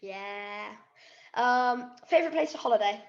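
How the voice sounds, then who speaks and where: A young woman hosting an interview series, asking quick upbeat questions with short reactions. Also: on the high side, expressive. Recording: echoey, dull lo-fi sound.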